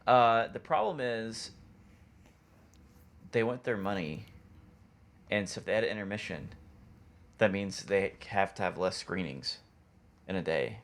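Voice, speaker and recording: A man with a fairly low voice and a lot of vocal fry talks as a podcast co-host. He is conversational and opinionated, laying out his reasoning as he goes. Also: expressive, a little slow, pauses often. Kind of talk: casual chat.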